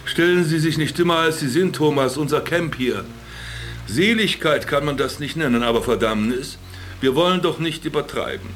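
A man's voice: a little high, normal speed, a bit bright, soft background music, natural voice. An actor playing a sardonic former businessman in a radio play, speaking with dry irony and a theatrical, lecturing manner. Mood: mock lecturing. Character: sardonic former businessman stranded in a camp, teasing his companion. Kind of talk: German radio play dialogue.

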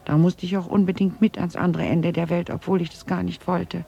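Husky, haunted middle-aged woman confessing. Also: on the low side, little pitch movement, brisk, dark tone. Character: haunted Dutch woman confessing her family's wartime guilt. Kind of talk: German radio drama dialogue. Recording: slightly dull 1980s radio recording.

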